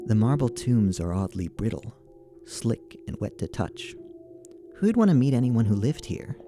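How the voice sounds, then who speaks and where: A man reading a dark story aloud in a dry, deadpan way. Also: medium pitch, moderate pace, music in the background. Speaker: reader.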